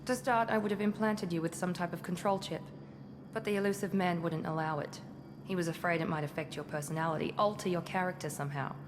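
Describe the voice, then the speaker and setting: An actress playing a confident, critical covert operative in a video game, speaking in an aloof, self-assured way. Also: female voice, lowish voice, steady tone, fast, natural voice. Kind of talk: video game cutscene acting. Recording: clear ambient noise behind.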